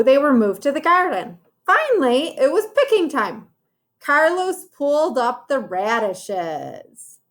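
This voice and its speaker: A woman teacher reading a children's storybook aloud, explaining it directly to young listeners. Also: fairly high pitch.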